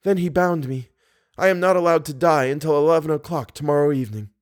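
A man's voice: a little high, fast talker, pitched above the performer's own voice, natural voice. A man voicing a frightened captive young woman in an audiobook, breathless, urgent and pleading. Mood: anguished.

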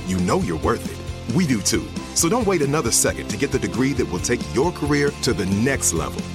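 A man's voice: medium pitch, very animated, fairly quick, over music. A man reading a scripted commercial in an earnest, motivational way. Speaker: ad announcer.

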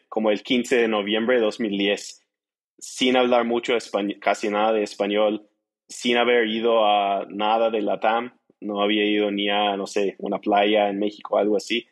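Un hombre de unos treinta años con acento estadounidense y el inglés como lengua materna cuenta su experiencia de forma informal en una entrevista, en un español con pequeños errores gramaticales. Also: male voice, on the low side. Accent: American. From United States. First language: English. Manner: non-native Spanish with small grammar slips. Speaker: interview guest, startup entrepreneur. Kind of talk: casual storytelling in an interview.

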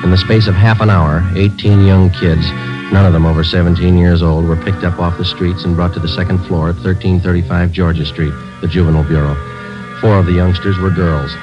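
Stern detective, played by a man: deep, fast, clipped, unemotional, dull vintage radio sound. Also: music in the background, close to an everyday voice. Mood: deadpan narration. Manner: deadpan, clipped, matter-of-fact. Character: terse, stern, no-nonsense male police detective. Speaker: lead actor and narrator. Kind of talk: 1940s radio crime drama acting and narration. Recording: muffled old 1940s radio recording.

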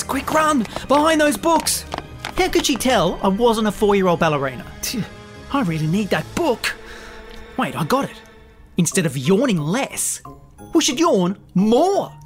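A woman playing a silly, cheeky, excitable children's show host, chatty and theatrical in a scripted podcast. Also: medium pitch. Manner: exclamatory, theatrical, chatty to young listeners.

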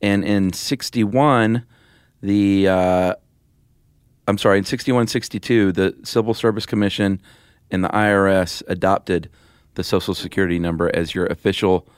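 Low-voiced American man, casual podcast co-host. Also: steady tone, moderate pace, clean tone, no echo, clean studio sound. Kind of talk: casual chat explainer.

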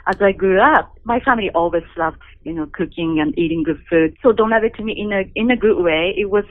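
A woman's voice: medium pitch, brisk, dry recording. A middle-aged woman from Japan with a Japanese accent, a cookbook author explaining things earnestly as a guest in a phone interview. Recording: tinny phone line.

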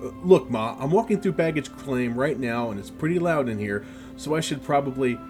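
A man's voice: medium pitch, lively intonation, darkish tone, soft background music, lightly acted. A man voicing a weary adult son, evasive yet firm with his mother, in an audiobook story. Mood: evasive, hurried. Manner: hurried, insistent.

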